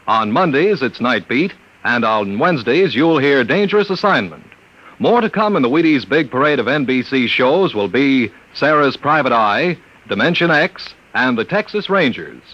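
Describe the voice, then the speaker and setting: A man announcing credits and promotions on a 1950s radio show, in a polished, promotional delivery. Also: mid-range voice. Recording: dull, noisy old radio broadcast.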